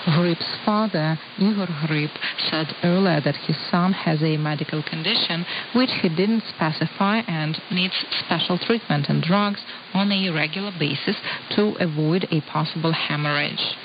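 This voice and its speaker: A woman reads the news in a steady, formal way, her voice sitting a little low. She comes through a dull, lo-fi shortwave radio signal. A clear hiss runs under every word. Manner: measured, even formal delivery. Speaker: newsreader. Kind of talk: read news bulletin.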